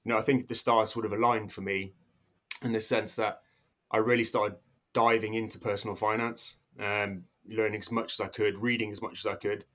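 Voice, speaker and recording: A man in his thirties with an English accent speaks in a fairly low voice. He talks as a podcast guest, casual and hesitant, often restarting his sentences and filling pauses. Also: level tone, a bit bright. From South Africa. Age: 30s. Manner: hesitant, many restarts and fillers. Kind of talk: casual interview talk.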